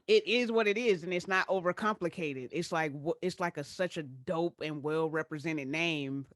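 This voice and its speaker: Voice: medium pitch, moderate pace, a bit bright, dry recording. An interviewer chatting casually, effusive and admiring, with lots of slang.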